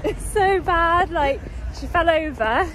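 A woman's voice: very high-pitched, slow, poor audio. A young woman chatting and teasing her friend in a casual vlog, filmed on a phone at arm's length outdoors at night. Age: young adult. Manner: giggly, teasing banter. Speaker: vlogger. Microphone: handheld phone at arm's length. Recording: loud music and crowd around.

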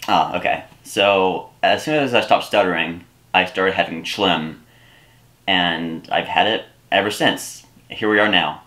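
A young man doing a scripted comedy sketch in a deadpan, rambling way, recorded indoors in a small room. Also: low-pitched, a little slow. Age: young adult. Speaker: comedy YouTuber.

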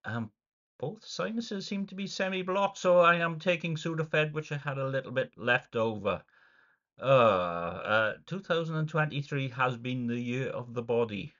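A man's voice: medium pitch, moderate pace, a bit bright, highs cut off. Congested middle-aged man rambling wryly. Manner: rambling, wry and self-deprecating, with sighs and fillers. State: congested with a cold. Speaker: vlogger. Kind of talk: casual video diary. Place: dim room indoors.

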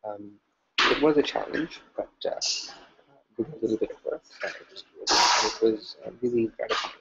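A man's voice: lowish voice, moderate pace. A young man answering a question on a video call, speaking earnestly with frequent "uh"s. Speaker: program alumnus. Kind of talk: casual Q&A answer. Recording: noisy dull video call audio.

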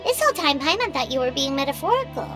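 A woman voicing a tiny, nosy, excitable childlike fairy companion in a video game, exclaiming as she talks. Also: sits high, fast talker, over music. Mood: teasing, surprised. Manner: refers to self in third person, exclamatory.

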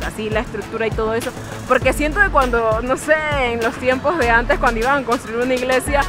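A young woman, chatting animatedly to a handheld camera on a city square. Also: on the high side. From Panama. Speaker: travel vlogger. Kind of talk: casual travel vlog. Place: busy city square and streets outdoors. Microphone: handheld camera at arm's length. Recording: outdoor city crowd with background music. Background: music and crowd noise.

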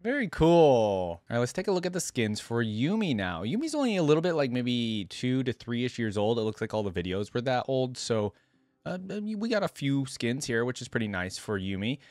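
A man's voice: mid-range voice, big pitch swings, moderate pace, a little mellow, dry recording. A young man giving casual reaction commentary in an easygoing, slangy way, thinking aloud at a desk microphone. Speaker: reaction video host. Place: indoor room at a desk, gaming chair. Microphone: desk microphone close in front, headset worn. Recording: music playing behind the voice. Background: music.

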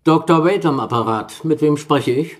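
A man's voice: a little high, normal speed, lightly acted. An actor playing a brusque, agitated male asylum doctor who scoffs and blusters in a German radio play. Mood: alarmed. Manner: blustery, hesitant hums and short scornful chuckles. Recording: echoey hall with long reverb.

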